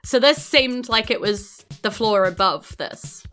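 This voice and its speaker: Female game master, New Zealand accent, bright forceful voice, improvised informal scene narration over faint music. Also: a little high, animated, a little slow. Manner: informal, loosely descriptive, hedging.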